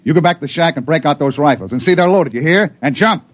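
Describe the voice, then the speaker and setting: An actor playing a gruff, suspicious miner father on a Mars frontier in old-time radio drama, with a brusque, folksy way of talking. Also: on the high side, lively intonation, fast talker, natural voice. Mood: tense, wary. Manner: brusque, commanding. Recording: vintage radio drama recording.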